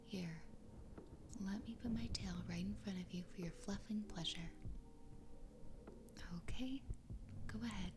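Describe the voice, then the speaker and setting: A woman's voice: mid-range voice, lightly acted. Whispering, obsessively adoring fox girl wife, acted by a woman. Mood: tender, doting. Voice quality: breathy, whispered. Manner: slow, intimate, soothing, doting. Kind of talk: whispered ASMR roleplay. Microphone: close ASMR microphone. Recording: close whisper with clear background noise.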